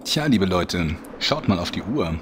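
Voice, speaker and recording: A man's voice: low-pitched, very animated, measured pace, fairly bright tone, a little hiss or hum, full character voice. A man playing the wry narrator of a fantasy parody audio drama, presenting it like a showman.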